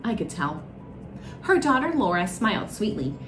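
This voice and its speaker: A woman's voice: medium pitch, big pitch swings, moderate pace. A woman storyteller narrating a children's storybook aloud. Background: music.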